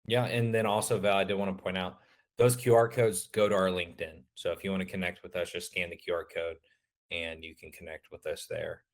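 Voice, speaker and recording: A man presenting a webinar, his voice a little raspy and hoarse, talking casually with many fillers. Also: sits low, level tone, fairly quick. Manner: casual, filler-heavy, loose explanations. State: slightly hoarse.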